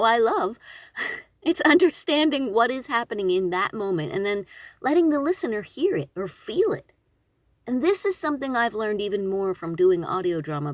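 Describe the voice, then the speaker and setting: A woman giving a casual instructional talk, conversational and expressive, with emphatic phrasing. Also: fairly high pitch, dry recording. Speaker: audiobook narrator and voice actor.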